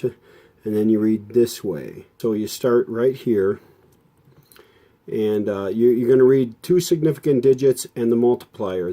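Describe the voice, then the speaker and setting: A man's voice: mid-range voice, mellow. An American man narrating hands-on electronics repair casually, in a plain-spoken, folksy, explanatory way. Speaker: host, electronics restorer.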